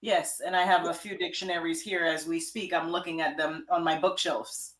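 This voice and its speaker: A woman giving an interview as a guest author and life coach, speaking in an animated, emphatic way over a video call. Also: lowish voice, normal speed. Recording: echoey room.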